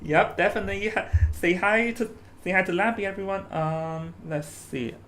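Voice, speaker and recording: A young man from Hong Kong with a Hong Kong accent, chatting casually as an esports commentator, animated and stumbling over restarts. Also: high voice, moderate pace. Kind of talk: casual stream commentary chat. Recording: faint background noise.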